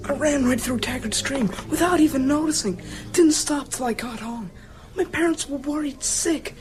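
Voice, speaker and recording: A woman's voice: high-pitched, normal speed, over music, lightly acted. A woman voicing a brave, precocious schoolboy in a radio drama, earnest and matter-of-fact.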